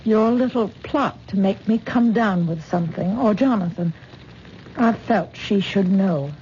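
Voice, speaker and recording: A woman's voice: medium pitch, unhurried, a little mellow, natural voice. An actress playing a level-headed, skeptical woman who talks bluntly and matter-of-factly in a 1970s radio drama. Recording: dull old radio broadcast with faint hiss.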